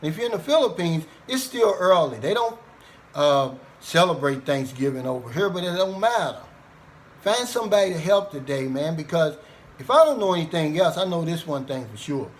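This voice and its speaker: A man's voice: on the high side, lively intonation, moderate pace, a little hiss or hum. A middle-aged American man talking casually to his viewers in a folksy, earnest, plain-spoken way. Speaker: vlogger.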